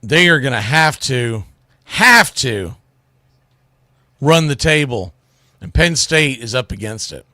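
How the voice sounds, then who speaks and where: A man's voice: medium pitch, sing-song, slow pace, long pauses, pushed and bright, no room sound, clean studio sound. A man hosting sports radio, giving opinionated college football commentary in a blunt, emphatic, colloquial way.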